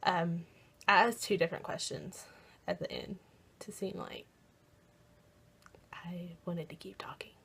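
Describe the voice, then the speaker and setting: A young American woman chatting casually in a vlog, often pausing as she tries to recall things. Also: lowish voice, steady tone, vocal fry, fairly bright tone, a little hiss or hum. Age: young adult. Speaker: college program applicant vlogger.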